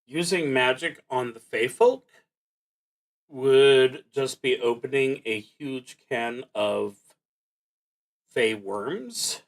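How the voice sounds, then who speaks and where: A man narrating a solo tabletop game, reading cards aloud and thinking out loud in a casual, wry way. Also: mid-range voice, drawn-out words, long pauses. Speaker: solo roleplaying game streamer.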